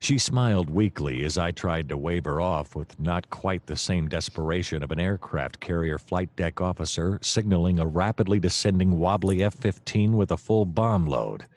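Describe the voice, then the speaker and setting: Man playing deadpan, very low-voiced noir detective. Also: normal speed, few pauses, natural voice. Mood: deadpan, wry. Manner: deadpan, long-winded. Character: wry, easily distracted male private detective narrating his case. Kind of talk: comic noir first-person narration.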